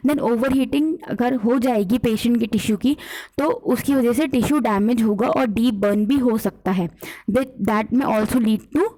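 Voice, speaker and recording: A woman's voice: fairly high pitch, a little slow, dark tone, poor audio. A woman with an Indian accent teaching an exam revision lesson, explaining point by point and often checking in with listeners. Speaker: physiotherapy teacher. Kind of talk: exam revision lecture mixing scripted points with casual explanation.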